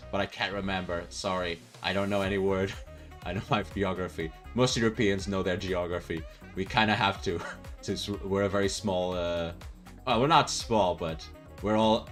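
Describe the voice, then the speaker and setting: A young man, chatting loosely with his live-stream viewers. He rambles, restarts his sentences and fills gaps with "uh" and "um". Faint music plays softly behind him. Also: lowish voice. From Portugal. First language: Portuguese. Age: 20s. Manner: rambling and self-correcting, with frequent fillers and laughs. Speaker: livestreamer. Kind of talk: casual live-stream chat reading viewer comments.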